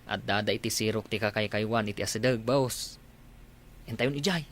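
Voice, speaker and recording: A man's voice: fairly low pitch, normal speed, lightly acted. An actor playing a worker at a clinic who speaks politely and deferentially to his boss.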